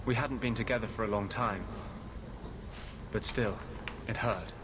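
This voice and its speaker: Actor as brooding, intense rebel mage over noise. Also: male voice, on the low side, lightly acted. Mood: wistful, confiding. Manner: earnest, intense, halting confessional delivery. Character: brooding rebel mage healer, embittered, idealistic man. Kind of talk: dramatic video game dialogue. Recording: clearly noisy game audio background.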